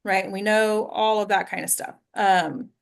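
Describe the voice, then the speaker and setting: Woman teacher chatting thru a lesson, conversational, keeps checking in with the group, normal mid pitch. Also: measured pace, gravelly. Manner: conversational, peppered with check-in questions like "right?". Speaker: acting class teacher and host. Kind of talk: casual teaching talk to a small group.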